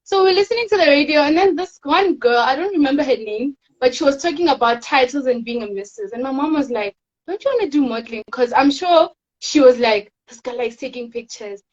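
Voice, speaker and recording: Teen girl guest, high voice, soft-spoken, muffled lo-fi audio. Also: lively intonation, brisk. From South Africa. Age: teens. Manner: soft-spoken, rambling storytelling with fillers. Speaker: guest, teen pageant winner. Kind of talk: casual interview chat.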